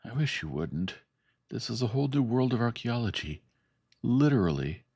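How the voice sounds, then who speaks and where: A man's voice: mid-range voice, big pitch swings, normal speed, darkish tone, husky, no room sound, clean studio sound, voice pushed up. A man voicing a determined woman archaeologist, with a firmer and slightly lifted tone compared to his narration.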